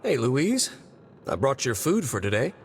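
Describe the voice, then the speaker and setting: An actor playing a kind, easygoing postman in a video game, talking in a gentle, chatty, coaxing way. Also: mid-range voice, big pitch swings, measured pace, a little hiss or hum. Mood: gentle, caring. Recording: background music under the voice.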